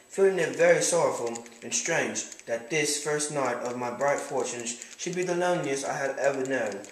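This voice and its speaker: A man's voice: medium pitch, a little hiss or hum, lightly acted. A man playing a proud, condescending young man, reading first-person literary narration and dialogue formally in an amateur puppet show. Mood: reflective. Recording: echoey room.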